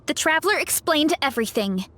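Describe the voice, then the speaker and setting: A woman's voice: high-pitched, sing-song, brisk, a bit bright, a little hiss or hum. A woman voicing a sweet, easygoing young warrior girl in a video game. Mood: earnest. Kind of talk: scripted video game character acting.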